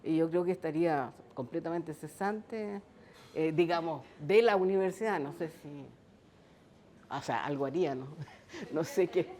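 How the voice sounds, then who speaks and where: A woman's voice: low-pitched, unhurried, darkish tone, slight background noise. A woman with a Chilean accent, speaking conversationally on a discussion panel, with fillers and asides. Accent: Chilean Spanish.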